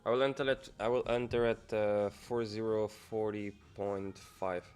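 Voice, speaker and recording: Young man, lowish voice, relaxed livestream host musing to himself over faint music. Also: unhurried, smooth. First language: Dutch. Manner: casual, loose, musing half to himself. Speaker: trading livestreamer. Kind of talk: live-stream commentary, thinking aloud.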